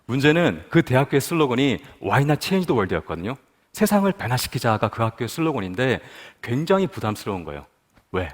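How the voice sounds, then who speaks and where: Male speaker giving a testimony, lively pitch, long hall echo. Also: normal speed. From Busan, South Korea. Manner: storytelling, asks the audience questions. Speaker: guest speaker at a prayer meeting. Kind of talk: personal testimony talk.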